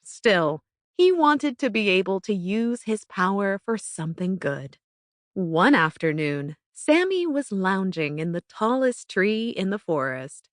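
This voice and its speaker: A woman narrating a children's bedtime story from a script in an expressive, careful storytelling manner. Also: medium pitch, no echo, clean studio sound. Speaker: audiobook narrator.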